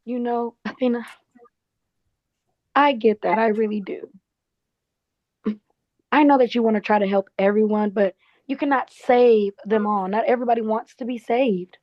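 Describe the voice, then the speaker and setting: A woman's voice: fairly high pitch, normal speed, pauses often, no room sound, lightly acted. A woman acting a sassy, protective office colleague in a drama table read, frank and colloquial, on a slightly muffled recording. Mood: caring, candid.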